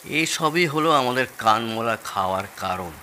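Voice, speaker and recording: Bright-voiced jovial older Bengali father-in-law. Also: mid-range voice, lively intonation, normal speed, slight background noise. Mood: earnest, critical. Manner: expansive, explaining with relish. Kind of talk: audio drama acting.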